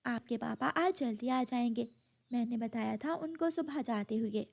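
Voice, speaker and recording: A woman's voice: fairly high pitch, normal speed, creaky. A woman voicing a doting, indulgent bird mother in a cartoon, motherly and reasoning. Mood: reassuring.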